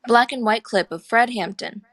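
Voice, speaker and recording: A woman's voice: on the high side, normal speed. A woman reading scripted stage directions and scene headings as narrator at a screenplay table read.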